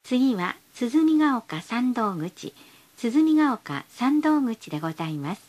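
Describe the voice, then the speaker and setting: A woman's voice: on the high side, very animated, slow, darkish tone. A woman reading scripted bus stop announcements in a polite, formal, carefully enunciated way.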